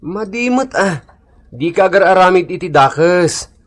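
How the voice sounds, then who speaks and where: A man's voice: high-pitched, lively intonation, a little slow. A man playing a level-headed, peace-keeping husband and father in a radio comedy drama, reasoning and admonishing. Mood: firm admonishing.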